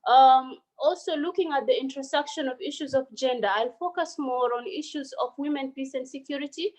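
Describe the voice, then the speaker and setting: Female panelist, slightly high voice; earnest, filler-heavy delivery over a phone. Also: brisk, darkish tone. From South Sudan. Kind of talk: online panel presentation.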